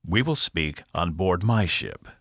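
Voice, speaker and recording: A man voicing a solemn, formal male alien who speaks slowly and with measured care. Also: low-pitched, lively intonation, gravelly, a bit bright, dry recording, studio quality, full character voice.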